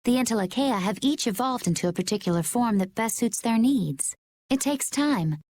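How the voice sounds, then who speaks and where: A woman playing a composed, knowing young adventurer in a game, explaining things calmly. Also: fairly high pitch, moderate pace, slight background noise, natural voice. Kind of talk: English game dub acting.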